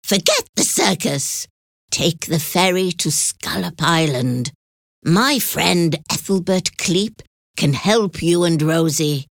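A woman voicing a knowing witch who speaks in a hushed, mysterious way in a storybook reading. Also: lowish voice, animated, slow, gravelly, no room sound, studio quality, full character voice.

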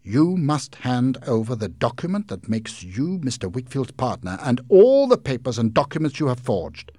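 A man voicing a calm, firm young male lawyer in a storybook reading, speaking in a steady, measured way. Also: medium pitch, no echo, voice pushed up.

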